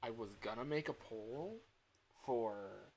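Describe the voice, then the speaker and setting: A young man livestreaming in a casual chat, rambling and halting as he corrects himself mid-sentence. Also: medium pitch, gravelly, a little mellow, a little hiss or hum, low-quality recording. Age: young adult. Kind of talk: casual chat with stream viewers.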